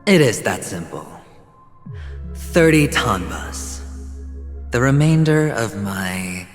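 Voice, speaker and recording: A man voicing a smooth, cocky young gambler and schemer in a video game, theatrical and teasing, with deliberate dramatic pauses. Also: lowish voice, big pitch swings, soft background music. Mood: calculating, proud. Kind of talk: video game cutscene acting.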